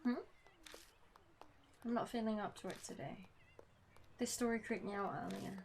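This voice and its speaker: A woman's voice: medium pitch, fairly even intonation, normal speed, gravelly, slight background noise. A woman game streamer reading a visual novel aloud, breaking off for casual commentary. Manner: casual reactions between read-out lines. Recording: music behind the voice. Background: game music.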